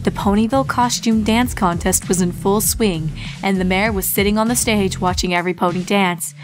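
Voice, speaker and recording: A woman's voice: medium pitch, normal speed. A woman reading a horror story aloud as a scripted, dramatic narration. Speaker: fan fiction reader and narrator. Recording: music bed under the voice. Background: background music.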